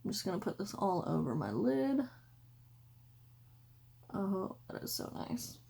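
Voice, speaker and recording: A woman, hoarse and losing her voice, chatting casually through a makeup tutorial at home. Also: mid-range voice, sing-song, measured pace, dark tone. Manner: chatty, rambling, self-correcting. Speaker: beauty vlogger. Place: room at home by a window.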